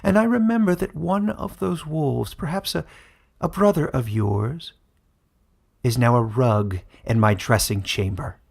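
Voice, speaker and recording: A man's voice: medium pitch, a little slow, creaky, a little mellow. A man voicing a cruel, mocking woman ruler in a fantasy audiobook, haughty and theatrical in delivery. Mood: gloating. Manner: scornful, sneering, theatrical. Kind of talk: dramatic fantasy audiobook character reading.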